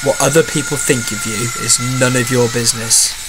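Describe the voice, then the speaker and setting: A man's voice: medium pitch, steady tone, fairly quick, background noise. A young man, congested from a cold and breathing heavily, rambling bluntly as he answers viewer questions. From England. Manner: rambling, blunt, swears freely. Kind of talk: rambling casual monologue. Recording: loud background music.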